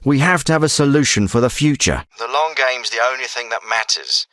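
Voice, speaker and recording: An actor playing a hard-nosed, authoritative leader of a covert military team in a video game, speaking tersely. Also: male voice, mid-range voice, fairly quick, fairly bright tone, no echo, clean studio sound, natural voice. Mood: grave, pragmatic. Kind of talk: scripted video game dialogue. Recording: some clips processed as radio.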